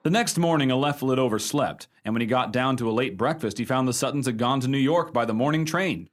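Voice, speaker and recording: An actor playing a genial husband spinning a ghost yarn in a conversational, expressive way for a radio play. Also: male voice, medium pitch, fast talker, close to an everyday voice. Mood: confiding. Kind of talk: radio drama storytelling.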